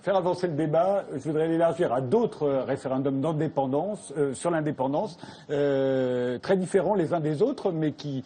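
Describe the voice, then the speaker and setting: A male moderator runs a televised debate and keeps the exchange moving. His voice is husky and slightly raised in pitch, with a mellow tone. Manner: brisk, directs the speakers. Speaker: host, moderator.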